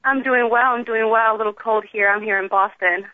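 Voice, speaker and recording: A woman's voice: a little high, fairly even intonation, fairly quick, no room sound. A young woman from the Bronx, an emergency room doctor, explaining her work as a guest on a talk show. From the Bronx, New York. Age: young adult. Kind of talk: phone interview. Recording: tinny phone line, dry.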